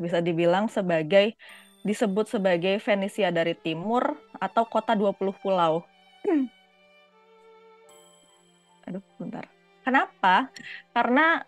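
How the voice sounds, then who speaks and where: A woman lecturer with a cold and a stuffy nose, giving an online lecture with many fillers, and clearing her throat often. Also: medium pitch, no echo.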